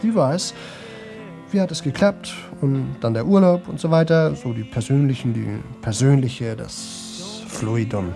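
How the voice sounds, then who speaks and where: An actor playing a young man in a radio play, exhausted and desperate, with a sore, rough throat, talking on in halting, repeated fragments. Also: mid-range voice, dark tone, soft background music. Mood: rambling, muddled. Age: young adult. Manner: halting, repetitive, broken fragments. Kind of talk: 1970s German radio play monologue.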